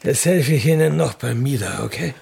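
A man playing a put-upon, drily polite household helper in a radio play, courteous but quietly grumbling. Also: medium pitch, expressive, fairly quick, vocal fry, slightly rough, heavily acted. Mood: dry grumbling.

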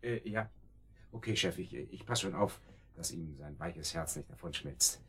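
A deep, sharp-voiced gangster henchman. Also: measured pace. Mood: eager, sly. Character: obliging, callous male gangster henchman. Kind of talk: German radio drama acting.

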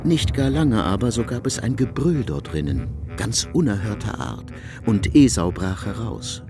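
A man's voice: fairly low pitch, sing-song, moderate pace, music in the background. A man reading scripted literary narration as the narrator of a radio drama.

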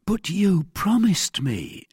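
A man's voice: sits high, sing-song, slow pace, darkish tone, husky, dry recording, studio quality, pitched above the performer's own voice, exaggerated voice. A man reading an audiobook and voicing a frightened, shabby male shopkeeper who begs anxiously for help. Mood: anxious, pleading. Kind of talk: audiobook character acting.

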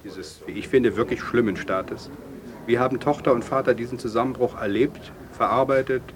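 A man with a mid-pitched voice acts as an opinionated questioner in an audio drama. He speaks deliberately and at length, probing his partner, with faint noise behind. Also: lightly acted.

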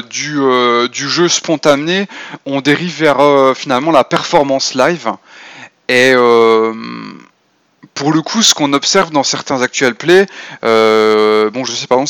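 A man's voice: medium pitch, fairly bright tone, clean tone, no room sound, studio quality. A man giving a semi-prepared podcast talk on role-playing, hesitant and full of fillers and restarts. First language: French.

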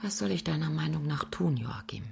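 A low, gravelly, reproachful wife. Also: female voice, expressive, a little fast, darkish tone, a little hiss or hum, heavily acted. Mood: weary reproach. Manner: intimate, accusing, often dropping to a breathy whisper. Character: disillusioned wife, weary and reproachful of her husband. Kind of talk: radio drama acting.

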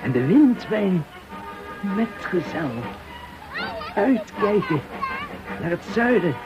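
An actor playing a weary war veteran in a poetic radio play, speaking in deliberate fragments. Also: male voice, sits high, big pitch swings, over music, exaggerated voice. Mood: brooding, sombre. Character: weary male war veteran recalling desert, sea and flight. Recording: noisy, dull radio play recording.

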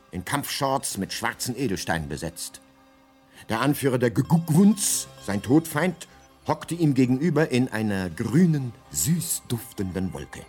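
A man's voice: mid-range voice, lightly acted. A man playing the narrator of a radio drama, a guidebook telling its tale in a dryly grandiose, scripted storytelling manner. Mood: ominous, grandiose.

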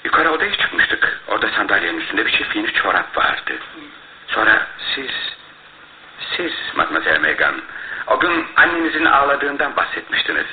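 An actor in a radio play playing a shrewd, self-assured detective, speaking emphatically with pondering pauses. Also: male voice, fairly low pitch, very animated. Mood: excited, deducing. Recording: roomy, tinny radio broadcast with faint noise.

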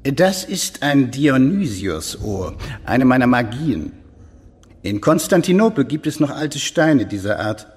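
An actor playing a courteous, sly alchemist lord in a German radio drama, explaining his marvels formally with a husky voice. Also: mid-range voice, very animated, a little slow, darkish tone. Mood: proudly secretive. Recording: long reverberant stone-hall echo.

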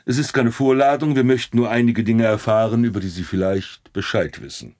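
Actor as a formal, mid-pitched police commissioner. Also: male voice, brisk, darkish tone, close to an everyday voice. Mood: courteous, formal. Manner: polite, formal. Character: male police commissioner, courteous, official. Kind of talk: German radio play acting.

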